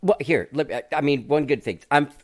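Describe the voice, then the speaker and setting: A man's voice: medium pitch, fairly quick, no echo, clean studio sound. A man in his fifties hosting a podcast interview, laid-back and self-deprecating with frequent chuckles, talking over a video call. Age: 50s. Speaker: podcast host. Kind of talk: casual interview chat. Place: dining room full of instruments.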